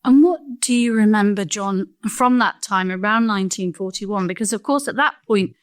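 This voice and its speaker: A woman hosting a conversational interview, asking questions in a gentle, empathetic way. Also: mid-range voice, moderate pace, dry recording, clean studio sound. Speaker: podcast host interviewing a guest.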